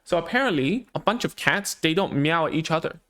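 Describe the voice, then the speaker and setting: A man's voice: fairly high pitch, expressive, a little fast, bright, forceful tone. A man whose first language is Cantonese, a speech therapist talking casually to parents about child language, with many fillers and restarts. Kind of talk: casual explanatory talk to parents.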